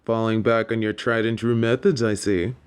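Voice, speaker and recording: A man playing a composed, wry professor at a wizard school in an audio drama, dry, teasing and deliberate. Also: fairly low pitch, fairly even intonation, clean tone, natural voice. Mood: wry, probing. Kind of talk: scripted audio drama acting.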